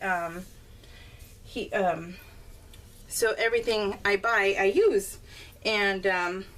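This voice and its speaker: A woman's voice: medium pitch, fairly bright tone. A middle-aged woman chatting casually and unscripted to viewers as a craft vlogger, in a giggly, rambling way. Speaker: craft vlogger presenting her scrapbook layouts. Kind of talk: casual, unscripted chat to viewers while showing a scrapbook.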